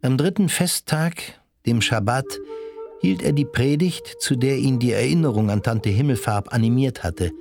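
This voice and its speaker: A man's voice: mid-range voice, normal speed, vocal fry, darkish tone, natural voice. A man playing the composed narrator of a radio play, reading scripted narration. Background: music.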